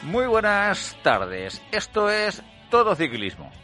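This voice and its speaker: A man's voice: high-pitched, wildly swooping pitch, takes it slowly, over loud music. A man hosting a cycling radio show, formal at the top and hesitant in later chat. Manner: hesitant, many restarts in chat. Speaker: radio host.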